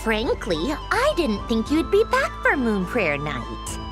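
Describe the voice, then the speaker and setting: A woman voicing a haughty, sharp-tongued young woman in a video game, scornful and easily flustered. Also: on the high side, huge pitch swings, slow pace, music in the background, full character voice. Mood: mocking. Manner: scornful, mocking, indignant. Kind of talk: video game character voice acting.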